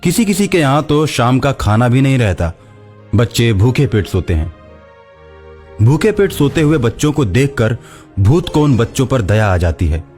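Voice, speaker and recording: A man tells a scripted moral tale as its narrator. His mid-pitched voice moves up and down in a very lively way. Faint music runs behind the narration. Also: normal speed. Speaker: storyteller.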